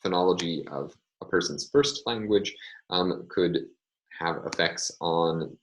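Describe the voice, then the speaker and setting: A man lecturing in a measured way, often pausing with ums and uhs as he explains. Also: deep voice, low-quality recording. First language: English. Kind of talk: recorded online lecture.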